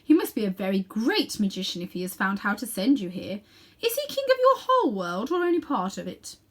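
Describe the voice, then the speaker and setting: A woman's voice: medium pitch, very animated, a little fast. A woman reading a storybook as an imperious, pompous evil witch queen who commands with grand contempt. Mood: demanding.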